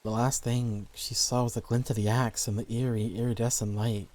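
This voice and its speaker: A man's voice: medium pitch, flat delivery, normal speed, darkish tone. A man reading scripted horror stories as the narrator.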